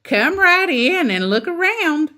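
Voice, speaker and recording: A woman voicing a jolly, loud female bear kindergarten teacher from a storybook, booming in a sing-song, drawn-out way. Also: very animated, exaggerated voice. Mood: jolly, welcoming.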